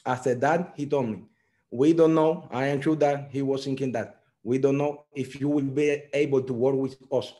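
Young Cuban-accented man, mellow tone, earnest non-native English over a video call. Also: medium pitch, steady tone, normal speed. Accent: Cuban Spanish. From Cuba. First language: Spanish. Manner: earnest storytelling in simple learner English. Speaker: software engineer guest. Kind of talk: online panel talk.

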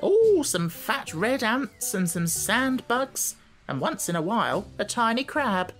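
A woman voicing a brisk, self-assured talking hen in a storybook, with a matter-of-fact, argumentative manner. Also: fairly high pitch, big pitch swings, faint music behind, pitched above the performer's own voice. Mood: chatty, contented. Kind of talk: storybook character voice.

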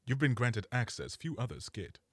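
A man playing a stern, commanding superhero mentor in a cartoon fandub, gruff and husky, with formal authority. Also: mid-range voice, very animated, moderate pace, dry recording, clean studio sound. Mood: formal, solemn.

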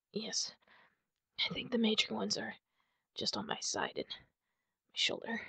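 A woman playing a wounded, guarded and prickly masked superheroine in an ASMR roleplay, half-whispering in a breathy, husky voice with halting, pained sighs. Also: medium pitch, big pitch swings, pushed and bright, dry recording, exaggerated voice. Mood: pained, wry. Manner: halting, sighing, pained delivery.

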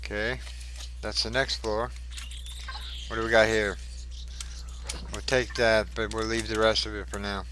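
Man, gameplay commentary, bright forceful tone, chatty, faint background hiss. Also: lowish voice, little pitch movement, measured pace, pauses often, low-quality recording. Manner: chatty, mutters to himself while fighting. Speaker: gaming channel host.

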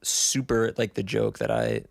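A man in his twenties co-hosting a casual podcast, teasing in a deadpan way and repeating jokes. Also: fairly low pitch, a little slow, vocal fry, no echo. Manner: deadpan, teasing, repetitive joking. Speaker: podcast co-host. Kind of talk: casual chat. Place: small apartment room, indoors. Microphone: handheld dynamic mic, close.

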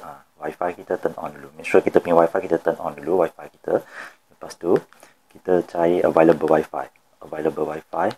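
A man's voice: low voice, darkish tone. A man with a Malaysian accent giving an informal step-by-step tutorial, repeating instructions and mixing in some English words. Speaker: product demonstrator. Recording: clear background noise.

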